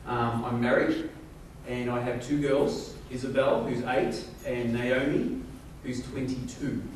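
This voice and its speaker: A man's voice: mid-range voice, level tone, measured pace. An Australian man in his forties giving a joking, self-deprecating rally speech with many fillers. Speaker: company executive giving a speech. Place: large event hall on stage. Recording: echoey hall, from far off, crowd noise.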